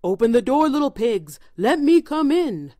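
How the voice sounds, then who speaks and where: A woman voicing a big bad male wolf from a children's storybook, roaring drawn-out, menacing threats. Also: high-pitched, very animated, warm tone, no room sound, clean studio sound, pitched above the performer's own voice. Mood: furious.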